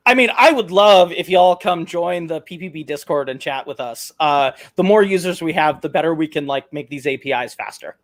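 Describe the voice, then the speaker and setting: High-voiced man, halting and thoughtful. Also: lively intonation. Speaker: guest, open-source project maintainer. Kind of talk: casual interview answers. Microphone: video call.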